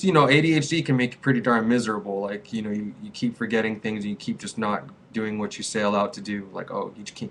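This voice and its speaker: A man's voice: mid-range voice, fairly bright tone. A man in his twenties, a small business owner, talking casually and earnestly to camera with a rambling, repetitive flow. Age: 20s. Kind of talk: casual vlog advice to camera.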